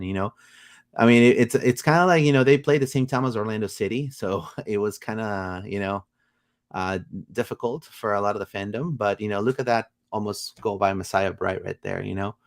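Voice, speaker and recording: A man hosting a casual soccer livestream, rambling with many fillers and bursts of excitement. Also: fairly low pitch. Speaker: podcast host.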